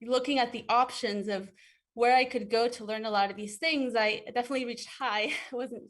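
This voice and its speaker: A woman's voice: fairly high pitch, fairly even intonation, fairly quick, fairly bright tone. A woman from Ecuador, a guest in an interview, answering thoughtfully with frequent fillers and restarts.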